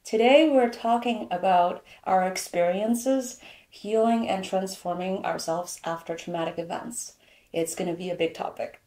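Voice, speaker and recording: A woman in her thirties co-hosting a podcast, pregnant, talking in a thoughtful, measured way. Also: medium pitch, fairly even intonation, darkish tone. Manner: thoughtful, measured, switches to Mandarin. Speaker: podcast co-host. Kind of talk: casual podcast conversation. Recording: echoey room.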